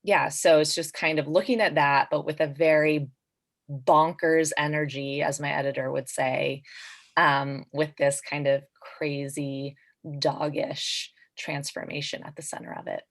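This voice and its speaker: A woman author on a video call panel, describing her book conversationally with pauses in mid-sentence. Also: sits low, fairly even intonation.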